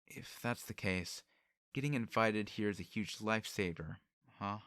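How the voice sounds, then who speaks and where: A man's voice: lowish voice, moderate pace, fairly bright tone, dry recording, clean studio sound. A man voicing a stubborn, anxious young commoner who speaks informally and bluntly in an audiobook. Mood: relieved.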